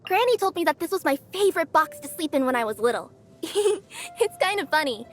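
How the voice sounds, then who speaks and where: An actress voicing a cheerful young female cat-yokai courier for a video game, bubbly and bashful, quick to fluster. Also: very high-pitched, very animated. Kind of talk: video game character voice lines.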